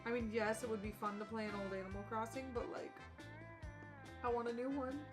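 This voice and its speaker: A woman's voice: fairly high pitch, brisk. A woman chatting casually on a live game stream in an informal, rambling way. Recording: game music behind the voice. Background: video game music.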